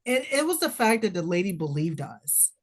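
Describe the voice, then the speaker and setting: A woman's voice: fairly low pitch, moderate pace, husky. A woman guest chatting casually and telling stories with a lot of laughter. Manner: animated storyteller, laughing often, mimics other voices. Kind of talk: casual chat.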